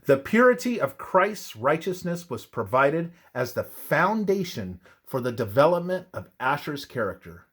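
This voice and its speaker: A man narrates a religious text in a husky, mid-pitched voice. His pace is slow and measured, and his intonation moves widely up and down. The delivery is solemn and preacherly, clearly read from a script. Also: big pitch swings, fairly bright tone.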